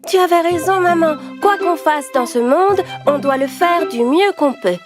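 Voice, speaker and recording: A woman voicing a small, childlike, earnest little pig in a children's cartoon fairy tale, with a squeaky little voice. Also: normal speed. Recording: music behind the voice.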